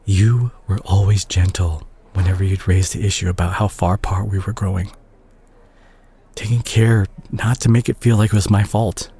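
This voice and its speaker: A tender, guilt-laden ex-boyfriend as acted by a man in an intimate romantic audio play. His voice sits on the low side and is notably breathy and husky. He speaks haltingly, with remorse and open emotion. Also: fairly even intonation, dark tone, full character voice. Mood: remorseful, confessional. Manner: halting, emotional, with sighs and repeated words. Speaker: audio roleplay performer.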